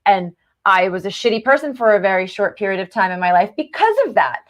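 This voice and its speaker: A woman's voice: mid-range voice, lively intonation, fast talker. A woman co-hosting a live show, chatting casually and candidly about personal things in informal language. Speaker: co-host of a self-improvement live show.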